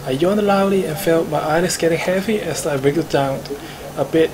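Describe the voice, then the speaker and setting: A man with a Hong Kong accent reads a visual novel aloud in an informal, slightly stumbling way. His voice sits fairly high, with music clearly playing behind him. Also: a little fast, low-quality recording. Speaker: streamer reading the protagonist.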